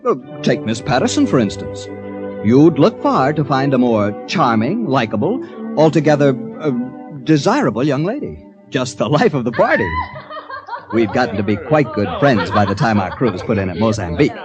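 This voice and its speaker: An actor playing a timid, eager-to-please American clerk on holiday in an old-time radio drama, fussy and hesitant. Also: male voice, medium pitch, sing-song, normal speed, music in the background, lightly acted. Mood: chatty, self-satisfied.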